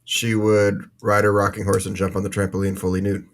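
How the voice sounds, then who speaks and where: A man playing a gentle, worn-down father, resigned and understated, in an improvised role-play. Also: fairly low pitch, monotone, brisk, natural voice.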